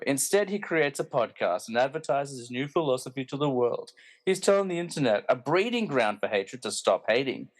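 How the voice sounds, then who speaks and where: A man's voice: fairly high pitch, lightly acted. An actor playing a bitter, villainous snobbish alter ego in a radio play, pompous and self-important as he rants. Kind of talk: hobby podcast radio play acting.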